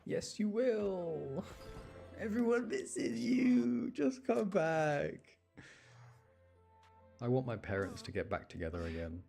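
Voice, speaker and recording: A man giving casual live game commentary as a streamer, chatty and playful, reacting out loud. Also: sits high, big pitch swings, takes it slowly, vocal fry, long pauses, slightly rough. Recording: faint game music behind. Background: video game music.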